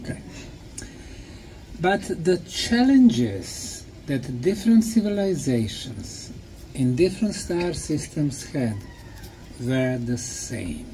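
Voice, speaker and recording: A man, a native Bosnian speaker, giving a talk to a group in short, simple phrases with pauses for an interpreter. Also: a little high, very animated, warm tone, a little hiss or hum, rough recording. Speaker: speaker, tour guide.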